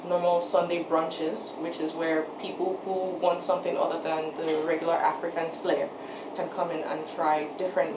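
Low-voiced woman chef in a roomy office. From Nigeria. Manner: relaxed and conversational, with frequent restarts and self-corrections. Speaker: head chef and business owner. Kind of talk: seated interview answers. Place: office, indoors. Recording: roomy with background noise.

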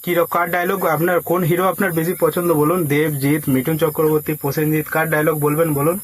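A man's voice: a little high, little pitch movement, brisk, no room sound. A man talking casually and persuasively on a prank call, coaxing and giving instructions like a casting agent. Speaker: prank caller posing as a film casting agent.

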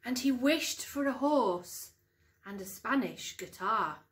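A middle-aged woman reads a picture book to young children, expressively and deliberately, stressing key words, in a room at home. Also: fairly high pitch, expressive. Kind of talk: storybook read-aloud for young children. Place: small living room at home.

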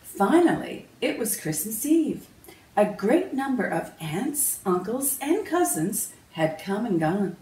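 A woman narrates a children's storybook slowly, with lively, swinging intonation. Her delivery is measured and expressive. The recording is roomy, picked up at some distance from the microphone. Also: medium pitch. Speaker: author reading own picture book aloud. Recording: roomy, distant mic, faint noise.

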